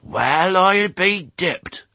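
A man voicing a mournful, grumbling old ewe with a husky voice and a West Country accent, in a storybook. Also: sits high, lively intonation, drawn-out words, sharp tone, no room sound, pitched above the performer's own voice, heavily acted. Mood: puzzled. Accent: English West Country.

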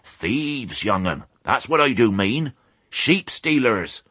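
Man voicing a rustic old ewe, pitched up. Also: very animated, takes it slowly, sharp tone, no room sound, exaggerated voice. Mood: grim, resigned. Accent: English West Country. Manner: rustic dialect, gruffly folksy. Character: plain-spoken old female sheep, kindly and resigned.

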